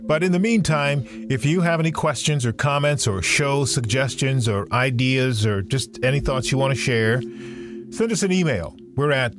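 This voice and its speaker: A man hosting a talk podcast, his voice at an ordinary middle pitch. He speaks in a measured, deliberate way, with soft music under him. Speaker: podcast host.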